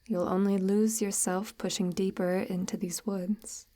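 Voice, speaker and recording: A woman playing a coaxing, sinister witch of the woods in an ASMR audio roleplay, breathy and often near a whisper, speaking formally and with gentle menace. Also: medium pitch, little pitch movement, close to an everyday voice. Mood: coaxing, eerily gentle. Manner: unhurried, formal, archaic-tinged, gently menacing. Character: soft-spoken, sinister, coaxing forest witch luring a lost traveler. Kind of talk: ASMR audio roleplay, slow intimate monologue.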